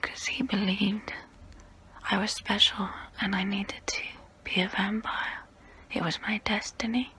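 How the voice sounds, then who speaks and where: Casting: ancient female vampire played by a woman for ASMR role play. Breathy whisper, crisp bright edge, unhurried, proud yet wistful. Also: medium pitch, little pitch movement. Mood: intimate, wistful. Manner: slow, intimate, close-up, deliberate pauses.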